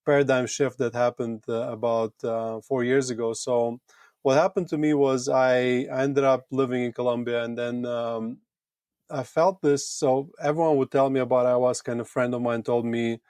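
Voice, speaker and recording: A man with a Latvian accent, a podcast guest telling his personal story casually in halting, thoughtful phrases with repeated words. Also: medium pitch, steady tone, a little mellow, clean tone, dry recording. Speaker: podcast guest, retreat owner.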